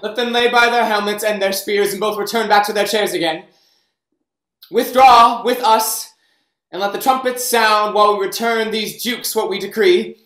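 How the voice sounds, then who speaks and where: A man's voice: sits high, fairly even intonation, moderate pace, fairly bright tone, faint music behind. A man playing a proud young king passing judgment, speaking Shakespeare's verse in a regal, stately way over a webcam. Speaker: actor. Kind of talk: staged Shakespeare reading. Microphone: webcam on a video call. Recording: echoey room.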